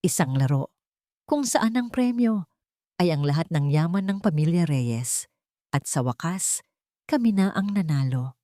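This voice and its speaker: A gloating mistress voiced by a woman narrator in an audio melodrama. She taunts with venom and smug relish, swooping in pitch and stretching out her mockery. The recording is close and dry. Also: expressive, measured pace, long pauses, mellow, no echo.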